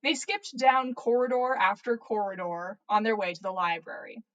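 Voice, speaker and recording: A woman's voice: medium pitch, moderate pace, fairly bright tone, lo-fi sound. A woman reading fan fiction aloud as a podcast host, narrating with playful, theatrical emphasis. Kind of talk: dramatic story narration.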